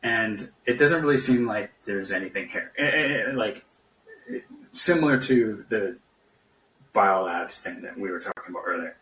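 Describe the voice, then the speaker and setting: Guy on a call-in show, echoey and a bit distant, sharp bright voice, skeptical, stop-start talking. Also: fairly low pitch, expressive. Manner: hesitant, halting, skeptical. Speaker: podcast guest caller. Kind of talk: casual political debate. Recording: echoey room, distant from mic.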